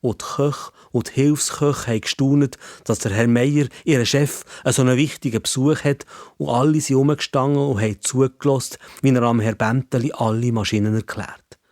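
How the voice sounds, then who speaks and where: A man's voice: mid-range voice, steady tone, normal speed, hardly any pauses, a little mellow, dry recording, clean studio sound. A man with a Swiss German accent narrating a scripted story for children.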